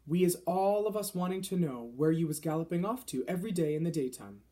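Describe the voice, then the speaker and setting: A man voicing huge, menacing man-eating giants in a children's storybook, with booming shouts and mangled grammar. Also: lively intonation, fairly quick, close to an everyday voice. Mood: bullying, suspicious.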